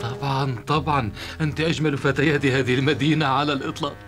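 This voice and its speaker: A man's voice: mid-range voice, fairly even intonation, fast, heavy background noise, lightly acted. A man voicing a bewitched, fawning young man in a dubbed cartoon, gushing with devotion. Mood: lovestruck. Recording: music under the voice.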